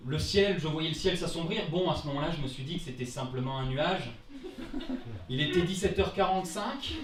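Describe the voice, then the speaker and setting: Theatrical young Frenchman, high-pitched, roomy sound. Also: fairly bright tone, a little hiss or hum. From France. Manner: self-mocking, theatrical, casual with swearing. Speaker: amateur storyteller at a live travel-story event. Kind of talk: comic personal travel story told on stage. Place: indoor live storytelling event before an audience. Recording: roomy live venue, distant microphone.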